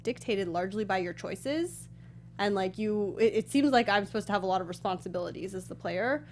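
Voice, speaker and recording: A woman's voice: mid-range voice, brisk, slight background noise. A woman co-hosting a talk show, chatting casually about video games.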